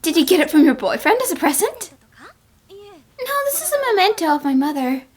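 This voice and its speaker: A woman voicing youthful, expressive young women with a girlish manner in a cartoon fan dub. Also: very high-pitched, normal speed. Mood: gentle. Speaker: voice actor in amateur cartoon dub.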